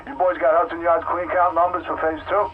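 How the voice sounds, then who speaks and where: A male game villain running things by radio, brisk and casual. The voice is thin and phone-like under clear static noise, clipped in its phrasing. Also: a little high, little pitch movement. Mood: businesslike. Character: brisk villain boss taking radio reports. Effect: radio filter. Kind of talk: video game voice acting. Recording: noisy, tinny, distant radio link.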